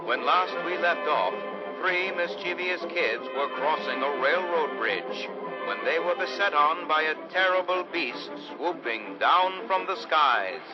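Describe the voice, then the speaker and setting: Ominous male radio serial host: theatrical, slightly high voice, dull vintage sound over loud music. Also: expressive, a little slow. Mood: suspenseful. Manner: theatrical, portentous. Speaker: radio drama narrator. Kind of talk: old-time radio serial narration. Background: loud dramatic music.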